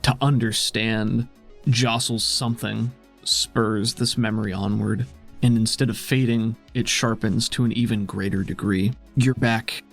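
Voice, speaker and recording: A man's voice: on the low side, fairly even intonation, measured pace, creaky, faint music behind. A man narrating a tabletop game as game master in a dramatic storytelling style.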